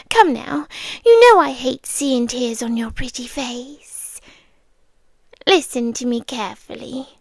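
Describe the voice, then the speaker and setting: A British woman playing a possessive, lovesick vampire girlfriend in an intimate ASMR roleplay, speaking softly and breathily, often slipping into whispers, with a coaxing, lingering delivery. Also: high-pitched, no room sound, heavily acted. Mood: hurt, soothing, then coaxing, adoring. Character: possessive, lovesick immortal vampire girlfriend, tender yet menacing.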